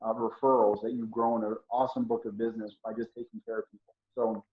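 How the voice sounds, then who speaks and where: A man's voice: lowish voice, little pitch movement, quick pace. A middle-aged man hosting a casual interview, talking at length in an encouraging way with frequent fillers. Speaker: host. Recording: dull roomy audio.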